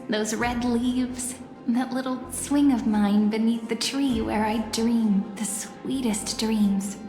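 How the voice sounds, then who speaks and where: A woman playing a gentle, knowing young female companion in a video game, teasing and wistful. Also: fairly high pitch. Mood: wistful, sorrowful. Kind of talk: English video game voice acting. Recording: echoey hall reverb, faint music behind.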